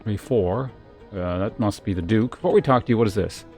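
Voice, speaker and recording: A man's voice: on the low side, brisk, a little mellow, soft background music. A man streaming a game, informally commenting on play and reading its text aloud, with muttered asides.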